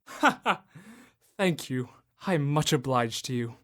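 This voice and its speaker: A man playing an old miser turned joyful and generous in an amateur radio drama, booming and theatrical. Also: lively intonation, measured pace, fairly bright tone. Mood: jovial.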